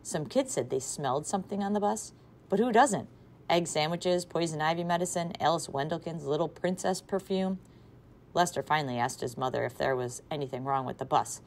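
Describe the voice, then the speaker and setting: A woman teacher reading a children's novel aloud to a class, with expressive, varied loudness. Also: deep voice, vocal fry, a little hiss or hum. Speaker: third grade teacher.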